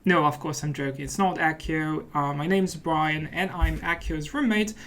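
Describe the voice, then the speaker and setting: A man's voice: on the high side, steady tone, normal speed, fairly bright tone. A man talks casually through a game as he plays it. His delivery is playful and often mock-serious, in the style of a let's play host. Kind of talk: casual gameplay commentary.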